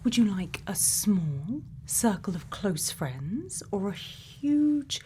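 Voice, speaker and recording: A woman playing a quirky, gently probing scientist in a soft-spoken ASMR roleplay, murmuring unhurried questions and sometimes half whispering. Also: mid-range voice, sing-song, a little hiss or hum. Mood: calm, attentive. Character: quirky, gently probing female scientist programming a robot's memories.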